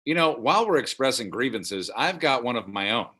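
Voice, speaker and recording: A man's voice: medium pitch, big pitch swings, brisk, pushed and bright, slightly rough, exaggerated voice. A man acting a stubborn, moralizing older father at a comedy table read, prudish and insistent. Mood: disapproving.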